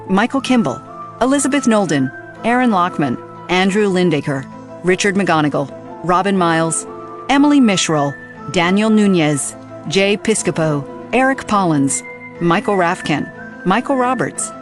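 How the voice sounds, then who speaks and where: Female credits announcer: somewhat low register, formal scripted delivery, prominent music bed.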